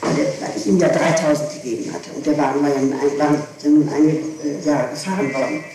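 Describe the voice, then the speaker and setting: A woman's voice: sits low, fairly even intonation, fast. A woman being questioned, recounting events in insistent detail and often correcting herself as she goes. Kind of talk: recounting events under interrogation. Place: echoing room, archival tape recording. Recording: very echoey, noisy old interrogation tape.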